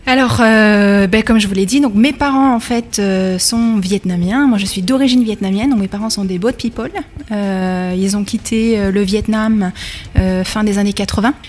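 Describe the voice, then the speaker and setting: A woman in her thirties, from France, answers interview questions. She hesitates often and restarts her sentences. Her mid-pitched voice carries a long reverb, as if in a large room. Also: normal speed, darkish tone. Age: 30s. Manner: hesitant, many fillers and restarts. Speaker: guest, bakery school manager. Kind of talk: radio interview.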